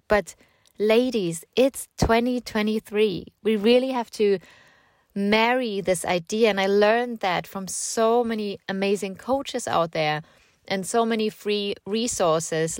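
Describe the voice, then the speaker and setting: A woman's voice: mid-range voice, no echo. A woman in her thirties with a slight German accent touched by American and British, hosting a solo podcast in a casual, rambling, chatty way that often repeats words. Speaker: podcast host and writing coach. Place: home in the countryside.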